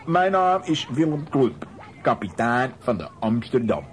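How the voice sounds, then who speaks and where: A man playing a stern, proud sea captain in a children's radio play, with an authoritative, gruff manner. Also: medium pitch, sing-song, unhurried, slight background noise. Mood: wary.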